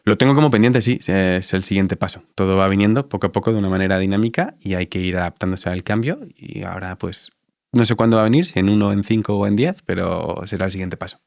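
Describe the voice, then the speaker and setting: A man in his thirties with an Andalusian Spanish accent, answering interview questions in a reflective, halting way with many fillers. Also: low-pitched, gravelly, a little mellow, no room sound, clean studio sound. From Spain. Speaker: dentist guest.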